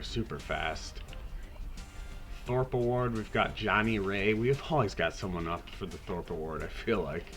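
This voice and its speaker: A man hosting a podcast, talking casually through a video game season in a raspy voice, hoarse from a cold, laid-back and rambling with the odd swear. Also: fairly low pitch, fairly bright tone. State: hoarse, getting over a cold. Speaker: podcast host.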